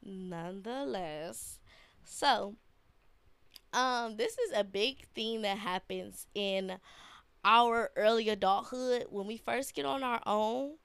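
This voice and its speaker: A woman's voice: mid-range voice, fairly bright tone, clean tone, no echo. A young woman hosting a casual podcast, talking in a laid-back, slangy way with a congested voice from a sinus infection. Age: young adult. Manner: slow, laid-back slangy talk with fillers. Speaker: podcast host. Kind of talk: casual solo podcast talk.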